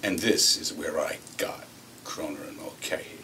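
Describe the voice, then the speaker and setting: An actor playing a cynical, swaggering corporate executive, telling his story in a theatrical monologue with deliberate pauses. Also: very deep voice, bright, forceful tone. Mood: quietly menacing. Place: acting workshop room. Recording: distant mic with faint room noise.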